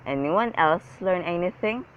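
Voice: medium pitch, expressive, unhurried, a bit bright, dull audio, slight background noise. A reader voicing a kindly, patient woman schoolteacher with a sweet, encouraging manner in a children's storybook.

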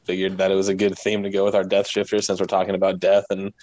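A man's voice: low voice, monotone, fast talker, a little mellow, no room sound. A man chatting casually as a podcast guest, explaining things in an easygoing, informal way. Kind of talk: casual chat.

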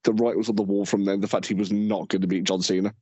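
Low-voiced young male co-host, hesitant. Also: rapid-fire, slightly rough, no echo. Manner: relaxed, hesitant, many fillers and repeats. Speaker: podcast co-host. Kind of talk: casual chat. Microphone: home mic without headphones.

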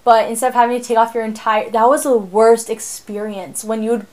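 A teenage girl co-hosting a podcast, chatting casually in an animated, rambling way, often laughing. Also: on the high side, brisk, a crowd in the background. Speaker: podcast co-host. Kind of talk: casual chat.

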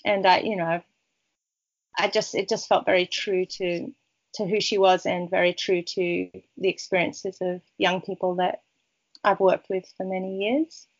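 A woman's voice: on the low side, flat delivery, moderate pace. Rambling woman author, dull lo-fi sound. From Peru. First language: Spanish. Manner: rambling, warm, many restarts and fillers. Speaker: author guest. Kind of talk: casual interview chat.

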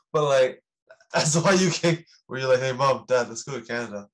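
A young man hosts a casual podcast, his voice at an ordinary middle pitch. He talks in a slangy, excitable way and laughs readily at his guest's stories. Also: moderate pace. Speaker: podcast host. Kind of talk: casual chat.

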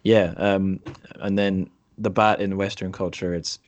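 A man's voice: low voice, little pitch movement, unhurried, dry recording, lo-fi sound. A man hosting a podcast in a casual, conversational interview style, thoughtful and a little tentative. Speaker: podcast host.

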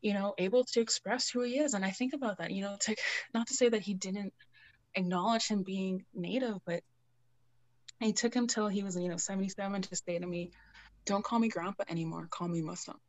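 A woman's voice: mid-range voice, fairly even intonation, brisk. A young woman from Canada, a Cree educator, speaking in an earnest, soothing way and telling personal stories with casual fillers in an interview. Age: young adult. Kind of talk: reflective conversational interview.

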